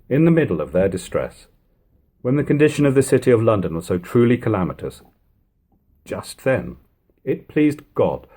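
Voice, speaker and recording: A man narrating an eighteenth-century account as a dramatic, expressive audiobook reading. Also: medium pitch.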